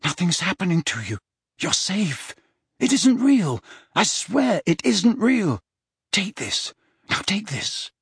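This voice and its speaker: A desperate young wizard in his teens acted by a male narrator for a fantasy audiobook. The voice is lifted higher and is breathy with strain. He sounds frightened yet keeps coaxing and reassuring urgently. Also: sing-song, unhurried, few pauses, pushed and bright, dry recording, studio quality, exaggerated voice. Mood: strained reassurance.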